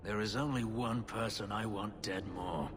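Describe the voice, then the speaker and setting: Man playing a grim, low-voiced elf warrior. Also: moderate pace, background noise, close to an everyday voice. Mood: cold contempt. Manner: grim, curt, intense. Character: brooding, bitter elf warrior, escaped slave, vengeful. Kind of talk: dramatic video game acting.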